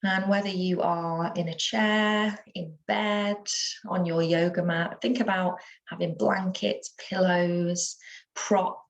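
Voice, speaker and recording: A woman teaching yoga nidra, guiding a relaxation meditation in a soothing, unhurried manner with gentle pauses. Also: fairly low pitch, steady tone, lo-fi sound.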